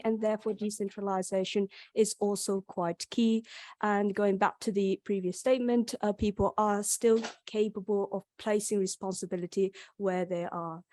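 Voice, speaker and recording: A woman's voice: medium pitch, a little mellow, dry recording. A young woman with a Yorkshire accent, a PhD student giving an academic conference presentation, with frequent ums and restarts. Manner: hesitant, many ums and restarts.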